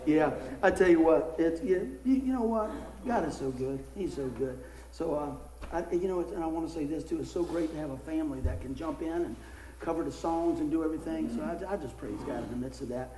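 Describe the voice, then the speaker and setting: Hoarse middle-aged male pastor, folksy sermon, mellow tone, echoey church room. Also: brisk, slight background noise. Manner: folksy, animated storytelling with rising pitch in anecdotes. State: hoarse, losing his voice. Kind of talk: casual sermon.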